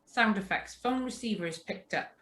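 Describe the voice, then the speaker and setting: A woman reads out script cues for a radio play. Her pitch is medium and the delivery is clipped, announcing each direction. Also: normal speed, a bit bright. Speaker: reader of stage directions and sound cues.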